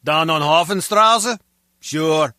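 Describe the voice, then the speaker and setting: A man's voice: fairly high pitch, takes it slowly, sharp tone, no echo, clean studio sound. An actor playing an earnest, comically overdramatic henchman in a video game. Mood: easygoing. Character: earnest, comically overdramatic male henchman, long-suffering music lover. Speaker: crewman.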